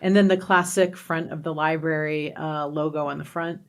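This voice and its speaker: Lower-pitched woman, upbeat podcast co-host. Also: fairly even intonation, normal speed. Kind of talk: casual podcast chat.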